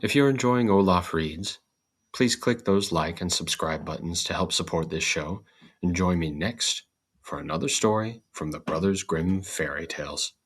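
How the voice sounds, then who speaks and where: A man reading a scripted storybook tale as host and narrator, in a measured, deliberate manner. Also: low voice, a bit bright, husky.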